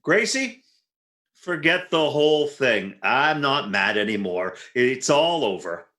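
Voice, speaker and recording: A man acts out a jealous, long-suffering husband from a 1940s radio comedy. His bright, sharp voice swings widely as he talks with indignant sarcasm. It sounds roomy and echoey. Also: medium pitch, big pitch swings, takes it slowly, exaggerated voice. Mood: irritable. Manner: indignant, sarcastic, put-upon.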